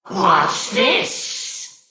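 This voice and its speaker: A woman playing an evil sorceress possessed by a dark scepter, haughty, menacing and theatrical, for a video game. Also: very slow. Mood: mocking, disdainful. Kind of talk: video game villain acting. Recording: echoey, distant, noisy ambient effect.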